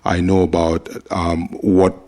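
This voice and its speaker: Lawyer from Cameroon, a man in his forties, deep voice, level delivery, careful, hesitant pacing. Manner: measured, steady, with frequent uh fillers. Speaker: immigration attorney. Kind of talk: interview answers.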